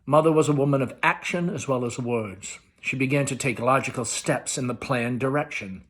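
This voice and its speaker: A man's voice: mid-range voice, normal speed, fairly bright tone, breathy edge. A man presenting a read-along, narrating a play aloud with informal asides. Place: at home.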